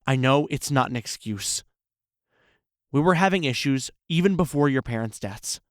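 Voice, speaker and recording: Audio drama lead: male actor as regretful, lovesick ex, earnest and halting, pleading delivery, dry close recording. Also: medium pitch, animated, no room sound, natural voice. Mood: confessional. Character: remorseful, lovesick cheating ex-boyfriend, pleading earnestly.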